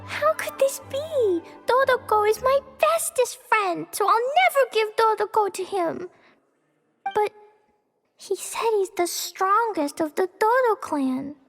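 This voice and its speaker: A cute little girl from a video game, with a very high, childlike voice. She speaks slowly and earnestly, halting over big words, with light music behind her. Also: heavily acted. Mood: worried, protective. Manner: childlike, refers to self in third person, halting over hard words. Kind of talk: video game character voice acting.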